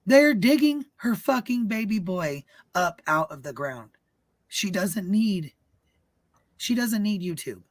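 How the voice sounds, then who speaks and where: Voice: medium pitch, lively intonation, takes it slowly, no room sound. A livestream host chatting casually about events in an emphatic, profane way. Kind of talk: casual live commentary chat.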